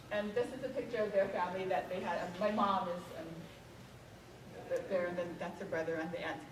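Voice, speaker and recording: A woman's voice: mid-range voice, roomy sound, distant mic, background noise. A woman from Hawaii, an author giving a conversational museum talk, full of ums and questions to the audience. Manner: conversational, frequent um fillers, asks audience questions. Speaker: author and speaker. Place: museum event room.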